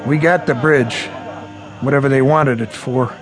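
An actor playing a tired army sergeant in a radio war drama, terse and sardonic. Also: male voice, mid-range voice, fairly even intonation, a little slow, over music, close to an everyday voice, rough recording. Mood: weary, wry. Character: tired, wry male army sergeant at the front.

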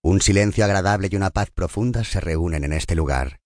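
A man narrating a scripted guided meditation in a calm, soothing and unhurried manner. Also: sits low, dry recording, clean studio sound.

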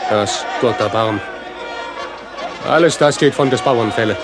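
A man's voice: mid-range voice, unhurried, over a noisy crowd, very noisy, close to an everyday voice. An actor playing a grumbling, crafty peasant father in a German radio play, speaking rustic declamatory rhyme. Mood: bitter, grumbling.

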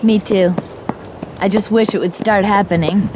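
An actress playing an independent, restless young ranch heiress in a Western audio drama. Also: female voice, medium pitch, fairly even intonation, moderate pace, background noise, close to an everyday voice. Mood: playful, nostalgic.

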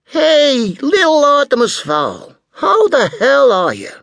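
A brash middle-aged American businessman in a storybook. The voice is pitched very high, with slangy, effusive delivery and big swoops. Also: wildly swooping pitch, slow, vocal fry, a bit bright, no echo, full character voice.

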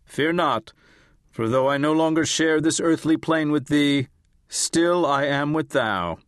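A man voicing a woman medium who channels a solemn ancient spirit, speaking in archaic, drawn-out pronouncements in an audiobook. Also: fairly high pitch, dry recording, studio quality, pitched above the performer's own voice, close to an everyday voice. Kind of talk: audiobook character voice.

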